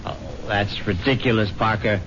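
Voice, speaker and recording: Actor as pompous low-voiced gentleman, vintage radio. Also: fairly even intonation, moderate pace, a little hiss or hum, lightly acted. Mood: irritated. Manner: pompous, stammering when flustered. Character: pompous, philandering well-to-do widower, jittery and self-important. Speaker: lead actor and narrator. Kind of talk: radio drama acting with first-person narration. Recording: dull vintage radio recording, loud music behind.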